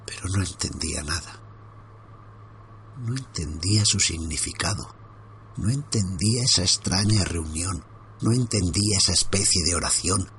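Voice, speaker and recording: A man narrating a first-person horror story for his podcast in a hushed, suspenseful way, often sinking into whispers. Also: low-pitched, lively intonation, normal speed, a little hiss or hum.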